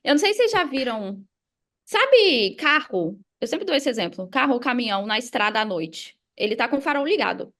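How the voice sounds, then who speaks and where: High-voiced Brazilian woman with creaky edges. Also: very animated, fairly quick, a bit bright. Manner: emphatic, repeats key phrases, uses examples and acted quotes. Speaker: podcast guest, affiliate marketer and mindset coach. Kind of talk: casual motivational explaining on a podcast.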